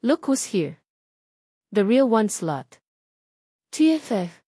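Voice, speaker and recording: A woman's voice: on the high side, very animated, takes it slowly, mellow, slightly rough, dry recording, studio quality, exaggerated voice. A woman voicing a haughty young female rival, affected and scornful, in a romance drama narration. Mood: mocking.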